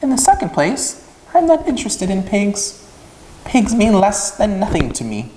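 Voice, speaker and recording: A man's voice: high-pitched, wildly swooping pitch, normal speed, mellow, slight background noise, pitched above the performer's own voice. A man voicing a haughty young storybook lamb, curt and scornful. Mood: haughty, dismissive. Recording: echoey room with long reverb.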